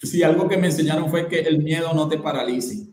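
A man's voice: fairly high pitch, fairly quick, crowd noise. Earnest man in an echoey room. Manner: earnest, repeats phrases, many restarts. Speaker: guest network specialist. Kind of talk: casual video-call interview. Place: bright indoor room.

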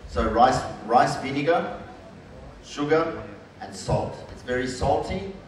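A man's voice: medium pitch, slow. A middle-aged man, a chef, explaining a live cooking demonstration step by step to an audience. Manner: relaxed, explains step by step in short phrases. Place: large hall with a stage and audience. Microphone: headset mic. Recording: very echoey hall, heard from a distance, with audible room noise.

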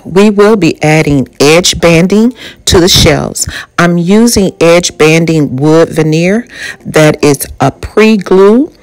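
A woman's voice: on the low side, poor audio. A woman narrating a home do-it-yourself project over video, explaining each step plainly with occasional ums. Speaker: home DIY vlogger.

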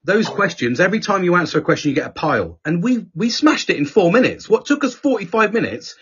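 Sweary, lively man telling a story. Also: high voice, fast talker, a little hiss or hum. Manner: animated, sweary, acts out quoted people. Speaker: podcast host. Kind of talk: casual storytelling chat. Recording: dull lo-fi podcast audio.

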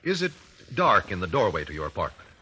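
An actor playing a methodical, confident trial lawyer in an old-time radio drama, American, questioning formally and precisely. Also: male voice, low voice, big pitch swings, fast, bright, forceful tone, full character voice. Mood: probing. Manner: precise, formal cross-examining. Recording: raspy vintage 1950s radio recording.

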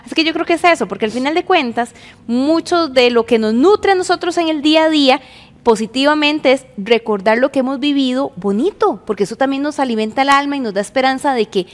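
A woman's voice: high voice, a little fast. A woman in her thirties with a Costa Rican accent giving unscripted commentary as a television presenter. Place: indoor television studio. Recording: reverberant TV studio.

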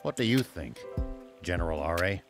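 An actor playing a stern, shrewd older man in a video game, speaking in a serious, deliberate, authoritative way. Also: sits low, expressive, over music. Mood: probing. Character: stern, shrewd old male lawman. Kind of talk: English video game voice acting.

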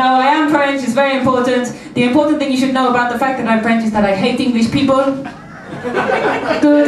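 A woman's voice: on the high side, noisy background. A young woman hosting a cabaret show, teasing the audience with cheeky, mock-haughty banter on a handheld mic. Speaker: cabaret host. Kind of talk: comic stage banter with the audience. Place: small cabaret club stage. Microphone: handheld mic, filmed from the audience. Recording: roomy club sound from a distant camera, loud band.

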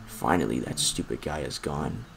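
A man's voice: rumbling low, moderate pace, gravelly, fairly bright tone, a little hiss or hum, voice pushed down, heavily acted. A man voicing a resentful, scheming male cartoon villain who addresses his viewers in a spiteful mutter.

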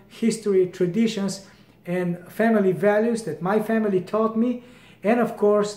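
A Bulgarian-accented man in his fifties talks to camera with a fairly high voice, earnest and often restarting his phrases as he gestures broadly. The sound is roomy, picked up by a camera at desk distance in a home hallway. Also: a little mellow. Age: 50s. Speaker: brand founder. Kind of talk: direct-to-camera promotional talk. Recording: echoey room.

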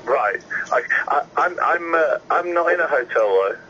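A man's voice: on the high side, expressive, moderate pace, bright, forceful tone. Baffled man on a phone line. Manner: baffled, questioning. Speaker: prank call target. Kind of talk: phone call. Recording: noisy dull phone line.

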